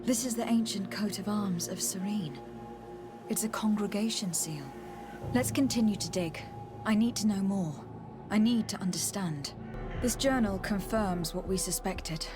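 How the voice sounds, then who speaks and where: A woman's voice: mid-range voice, normal speed, close to an everyday voice. Woman acting composed, formal noblewoman over music. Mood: grave, intent. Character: composed, inquisitive young noblewoman envoy, diplomatic and earnest. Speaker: voice actor in a fantasy video game. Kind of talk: game dialogue.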